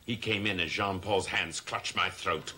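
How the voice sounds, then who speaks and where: A man's voice: sits low, steady tone, unhurried, sharp tone, exaggerated voice. A middle-aged man playing a suspicious, intense accuser in a gothic soap opera, delivering his lines dramatically. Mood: accusing. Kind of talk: 1960s gothic TV soap acting. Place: TV studio library set.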